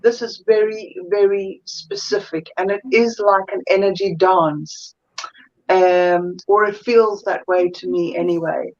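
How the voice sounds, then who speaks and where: Slow-speaking South African woman. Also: medium pitch, fairly even intonation, muffled recording. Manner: thoughtful, searches for words, many fillers. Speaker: guest energy healer. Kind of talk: casual interview chat.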